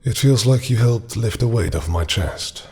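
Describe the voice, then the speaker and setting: A man playing an immortal, possessive yet tender deity in a dark fantasy romance audio roleplay, speaking intimately and often sinking into murmurs. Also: lowish voice, lively intonation, vocal fry. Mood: teasing, commanding. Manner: intimate, slow, dropping into murmurs and whispers. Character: immortal male deity, possessive, tender, darkly seductive ruler.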